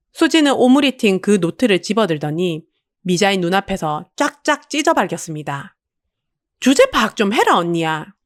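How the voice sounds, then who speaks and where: A woman delivers dramatic scripted audiobook narration. Her pitch moves widely through each sentence, and the recording is dry and close, with no room sound. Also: dry recording.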